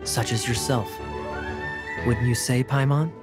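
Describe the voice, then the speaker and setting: Guy playing a smug young knight captain, smooth n teasing, game dialogue w music behind. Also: medium pitch, fairly even intonation, unhurried, lightly acted. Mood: polite, charming. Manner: smooth, sly, theatrically polite.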